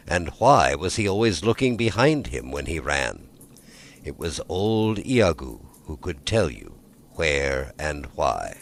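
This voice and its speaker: A man narrating a scripted fairy tale as a storyteller, measured and with dramatic emphasis. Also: sits low.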